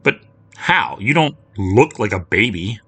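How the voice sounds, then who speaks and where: A man's voice: fairly low pitch, sing-song, measured pace, a bit bright, slightly rough, no echo, exaggerated voice. A man voicing a timid, frightened young girl who stammers and hesitates. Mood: pleading.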